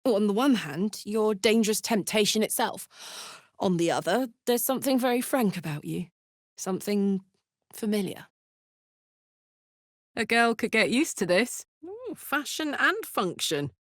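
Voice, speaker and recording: A woman voicing a tough, playful, warm-hearted tiefling warrior woman in a video game, talking bluntly and colloquially with plenty of swearing. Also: mid-range voice, animated, unhurried, no echo, clean studio sound. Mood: tender, teasing. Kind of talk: video game character voice lines.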